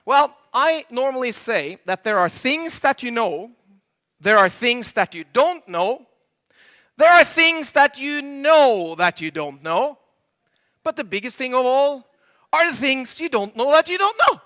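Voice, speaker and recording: A high-voiced middle-aged Norwegian man speaking English with a Norwegian accent as a second language. His tone is bright and keen. He works a live conference crowd from the stage, cracking jokes and throwing questions at the audience. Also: big pitch swings, unhurried. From Norway. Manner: jokey, quizzes the audience, slightly imperfect English. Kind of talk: stage presentation with audience banter.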